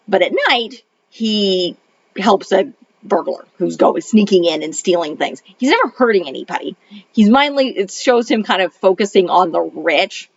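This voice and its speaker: Middle-aged woman with a cold, gravelly. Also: on the low side, no room sound. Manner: rambling, many ums and restarts. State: recovering from a cold, voice a little off. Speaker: retired librarian turned homeschool mom reviewing films. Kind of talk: casual film review vlog. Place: home in Arizona. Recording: dull lo-fi audio.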